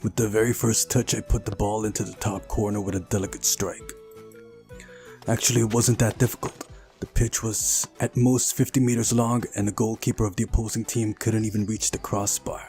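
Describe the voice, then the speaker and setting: A man's voice: on the low side, flat delivery, moderate pace, a little mellow, faint music behind. A man reading a visual novel aloud during a playthrough, narrating the story and adding casual asides of his own.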